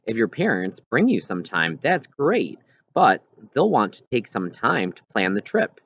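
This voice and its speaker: Kindly whale researcher for an audiobook male reader. Reassuring and explanatory, low register, animated phrasing. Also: moderate pace, gravelly, slightly rough, no room sound, exaggerated voice. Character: kindly adult whale researcher writing an apologetic email. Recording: dull, lo-fi recording.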